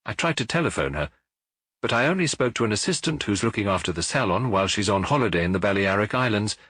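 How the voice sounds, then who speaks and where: A man's voice: lowish voice, lively intonation, fast talker, fairly bright tone, slightly rough, no echo. A man voicing a jovial, talkative middle-aged police chief superintendent in an audiobook. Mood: businesslike. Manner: hearty, talkative, reporting.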